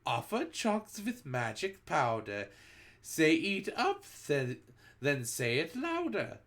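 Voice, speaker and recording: A reader putting on the voice of a cruel, gloating old witch queen who shouts and chants a singsong rhyme in a storybook read-aloud. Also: medium pitch, huge pitch swings, slow pace, voice pushed up, exaggerated voice.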